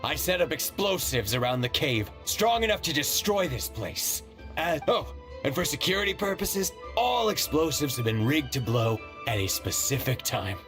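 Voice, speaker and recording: A man's voice: a little high, sing-song, normal speed, over music. An actor voicing a sly male debt collector who serves a crime boss, delivered in a mocking, businesslike way. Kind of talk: video game cutscene voice acting.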